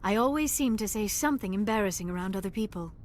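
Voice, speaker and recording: A woman's voice: medium pitch, expressive, quick pace, slight background noise, lightly acted. An actress playing a young alien archaeologist in a video game, earnest and formal, flustered when she feels embarrassed, with music playing behind her. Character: young alien woman archaeologist, shy and awkward.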